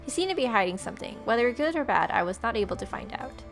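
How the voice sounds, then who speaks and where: A woman's voice: on the high side, big pitch swings, fast talker, faint music behind. A woman hosting a gaming video, reading a visual novel's story aloud with playful asides.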